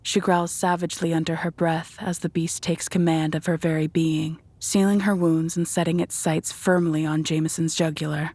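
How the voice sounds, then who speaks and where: A woman's voice: deep voice, little pitch movement, normal speed, few pauses, darkish tone, no echo. A woman reading scripted, dramatic narration for a horror audio drama.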